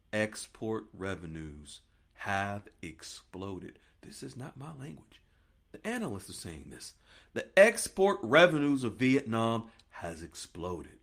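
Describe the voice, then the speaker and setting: A man's voice: lowish voice, slightly rough. A man hosting a finance podcast, talking straight to listeners in an emphatic, preachy monologue that keeps repeating points and calling them "folks.".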